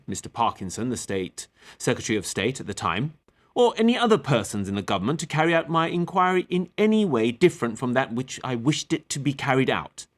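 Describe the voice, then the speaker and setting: A man playing an authoritative, defensive captain giving evidence, speaking formally, deliberately and firmly in a table read of a courtroom play. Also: medium pitch, natural voice.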